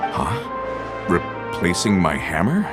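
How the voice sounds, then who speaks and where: A man's voice: low-pitched, sing-song, slow, over loud music, exaggerated voice. An actor playing a middle-aged, plain-spoken working father in a video game, sincere and a little world-weary. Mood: pondering.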